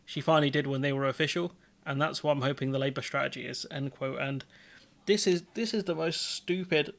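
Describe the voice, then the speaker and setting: A man's voice: a little high, brisk, creaky. Exasperated man ranting about politics. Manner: exasperated, sarcastic ranting with many restarts. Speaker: livestream host. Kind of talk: political commentary livestream.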